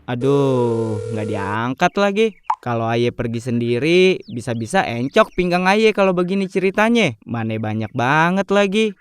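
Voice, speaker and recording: A man's voice: mid-range voice, sing-song, unhurried, faint music behind. A man voicing a fussy, bossy older neighbourhood man with a Jakarta Betawi accent in a cartoon. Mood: grumbling. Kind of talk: comic cartoon dubbing.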